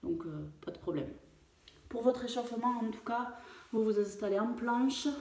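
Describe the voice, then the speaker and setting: A French fitness coach talking through a home workout, with many hesitations and fillers. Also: female voice, medium pitch, darkish tone. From France. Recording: echoey room, voice far from mic.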